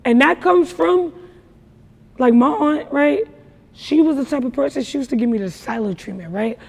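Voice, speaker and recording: A young woman with a naturally raspy voice talks about her life in a relaxed interview, her stories emphatic and full of slang. Her voice rings with a long reverb, as if she is in a big hall. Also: sits high, moderate pace. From New York. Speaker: rapper guest. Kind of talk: casual interview talk.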